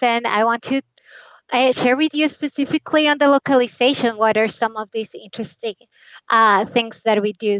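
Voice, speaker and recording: Colombian woman, native Spanish speaker, fairly high voice, enthusiastic guest explainer; dull dry recording. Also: fairly bright tone, clean tone, no echo. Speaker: localization guest. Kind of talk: casual interview talk.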